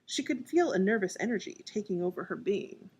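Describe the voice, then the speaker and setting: A woman's voice: medium pitch, lively intonation, a little fast, a little mellow, breathy edge. A woman narrating as an author and storyteller. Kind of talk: scripted audiobook narration.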